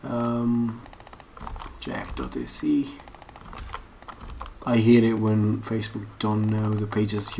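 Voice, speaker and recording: A man giving a casual, unscripted screen-share tutorial, rambling and thinking aloud as he searches. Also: on the low side. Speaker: online course instructor. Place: at a computer. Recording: noisy room with keyboard and mouse clicks. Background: typing and mouse clicks.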